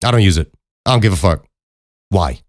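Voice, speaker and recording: Man, low-pitched voice, casual podcast monologue, blunt and emphatic, swears freely, dry close-mic sound. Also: lively intonation, brisk, no room sound. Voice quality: deep voice. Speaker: podcast host and voice actor. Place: acoustically treated home recording room. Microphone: close studio condenser microphone.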